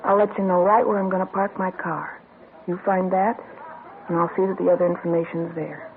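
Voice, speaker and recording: A woman's voice: on the low side, flat delivery, brisk, slight background noise, natural voice. An actress playing a guarded female informant in an old radio drama, blunt and clipped. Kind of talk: 1950s radio drama acting. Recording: tinny lo-fi old radio broadcast.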